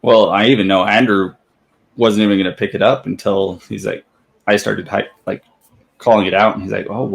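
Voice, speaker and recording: A man talking calmly as a guest in a casual interview, in a fairly flat way, with many "like"s. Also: fairly low pitch, moderate pace, creaky. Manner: calm, says he has a monotone voice, lots of "like". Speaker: guest, book reviewer. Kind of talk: casual chat.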